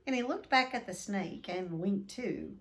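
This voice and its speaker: A woman reading a children's book aloud expressively, with deliberate dramatic emphasis. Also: medium pitch, animated. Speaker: read-aloud storyteller.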